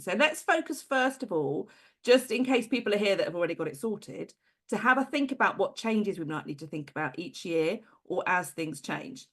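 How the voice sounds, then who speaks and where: A woman hosting a live session over a video call, with a middle-pitched voice. She speaks in an encouraging way and laughs easily. She runs through her points in a plain, explaining manner. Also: very animated, moderate pace, fairly bright tone. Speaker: host.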